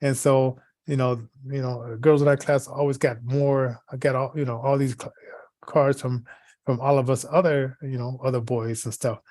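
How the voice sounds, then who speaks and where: A man co-hosting in casual, rambling chat, halting as he searches for words and repeats himself. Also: medium pitch, level tone, a little mellow, no room sound. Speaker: podcast co-host.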